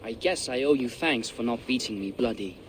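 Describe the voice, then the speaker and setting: An actor playing a mocking, defiant seventeen-year-old prince in a video game. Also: male voice, medium pitch, expressive, a little fast, a little hiss or hum, lightly acted. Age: teens.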